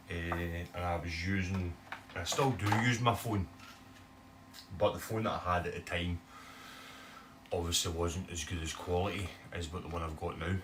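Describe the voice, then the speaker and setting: A man with a broad Scottish accent, talking casually to camera for his bass guitar channel, rambling with lots of "eh" fillers. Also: distant mic, noisy background. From Scotland. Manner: chatty, rambling, many "eh" fillers. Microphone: phone camera.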